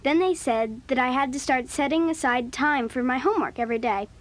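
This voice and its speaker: A woman plays a style-conscious preteen girl in a children's audio drama. Her voice is high and youthful, and she speaks in a frank, matter-of-fact way. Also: fairly quick, close to an everyday voice. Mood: pleased.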